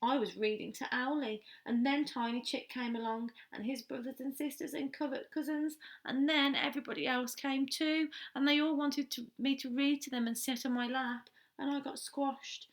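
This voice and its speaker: A woman's voice: sits high, steady tone, moderate pace, few pauses, pitched above the performer's own voice. Baby Owl, a woman doing a whiny little owl. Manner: indignant, whiny storybook voice. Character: small fussy baby owl, young boy.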